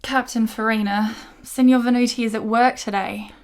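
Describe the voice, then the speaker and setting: A polite household maid in a period radio drama, played by an actress. Her voice is fairly high and formal in address. Clear noise sits on the recording. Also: fairly even intonation, moderate pace, natural voice. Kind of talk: dramatic radio play acting.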